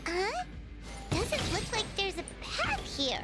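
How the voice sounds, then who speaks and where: A woman voicing a small, excitable female fairy companion in a video game, squeaky, childlike and dramatic in her exclamations. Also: takes it slowly, over loud music, full character voice. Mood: curious, surprised.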